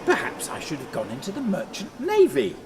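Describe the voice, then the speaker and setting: A haunted, volatile husband in a radio play. His fairly high voice swings widely as he broods at a slow, obsessive pace. A clear rumbling noise sits behind him. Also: wildly swooping pitch. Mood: wistful, weary. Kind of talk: radio drama acting.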